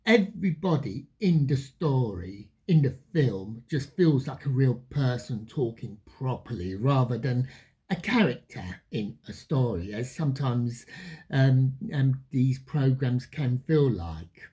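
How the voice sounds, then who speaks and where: Slow, husky reviewer with lively pitch swings. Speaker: film reviewer, channel host. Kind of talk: retrospective film review commentary.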